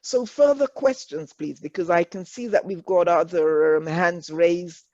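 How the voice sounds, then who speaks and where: A woman's voice: on the low side, unhurried, darkish tone, no echo. Reassuring English woman on a call. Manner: earnest and reassuring, repeats her points. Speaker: workshop facilitator. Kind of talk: encouraging workshop talk. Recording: dull lo-fi online call audio.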